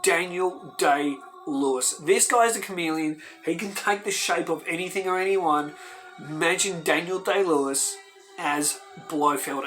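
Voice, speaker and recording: An excitable man hosts his own fan show and talks straight to camera with eager, emphatic energy. His voice sits a little higher than most men's. Faint music plays underneath him. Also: low-quality recording. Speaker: host of a fan video channel. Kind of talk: enthusiastic casual talk to camera.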